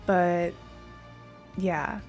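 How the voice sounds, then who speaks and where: A young woman with a slightly hoarse voice, chatting casually and playfully as she livestreams a game. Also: lowish voice, steady tone, drawn-out words, darkish tone, faint music behind. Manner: playful, rambling. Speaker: VTuber streamer.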